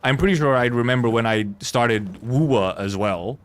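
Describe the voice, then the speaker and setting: A man's voice: mid-range voice, normal speed. A man livestreaming a game, chatting casually in a rambling, blunt, sweary way. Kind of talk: casual gaming stream chat.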